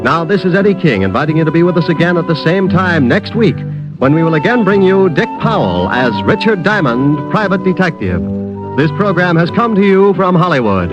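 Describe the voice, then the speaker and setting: A man announcing the credits of a 1950s radio drama in a crisp, formal way. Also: fairly high pitch, sing-song, brisk. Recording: dull old radio with music.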